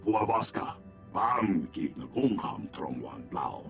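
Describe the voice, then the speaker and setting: Casting: declamatory video game alien, very deep gravelly voice, slow invented-language delivery, clear music underneath. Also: male voice, big pitch swings, heavily acted. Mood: intense, declamatory.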